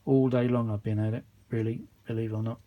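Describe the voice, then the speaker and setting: A man's voice: mid-range voice, little pitch movement, quick pace, dark tone. Rambling man, casual and mildly weary. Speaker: vintage audio repair vlogger. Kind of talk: casual unscripted workshop chat.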